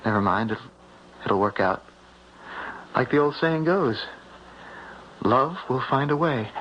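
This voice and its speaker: Earnest young sailor, muffled old radio. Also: male voice, mid-range voice, animated. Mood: tender, reassuring. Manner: halting, earnest, hesitant. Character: earnest lovelorn young sailor home from sea. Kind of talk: radio drama acting. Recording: muffled noisy 1970s radio broadcast.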